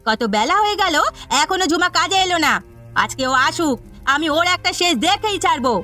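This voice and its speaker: A woman's voice: very high-pitched, lively intonation, a little fast, sharp tone, soft background music, heavily acted. A woman voicing a harsh, sarcastic, bossy village aunt who scolds like an employer in a cartoon story. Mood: angry.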